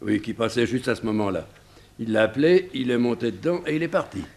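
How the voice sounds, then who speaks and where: Man playing a gravelly, halting concierge. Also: mid-range voice, natural voice. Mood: hesitant, obliging. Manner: halting, repeats himself. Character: obliging, hesitant male building concierge, simple and chatty. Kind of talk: 1960s French radio drama acting.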